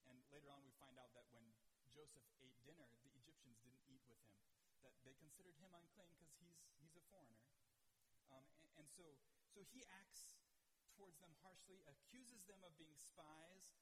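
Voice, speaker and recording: A man's voice: fairly high pitch, normal speed, a bit bright. A man preaching a sermon in earnest, often repeating words as he builds a point, in a church sanctuary. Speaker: pastor. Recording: distant mic with hall reverb and faint hiss.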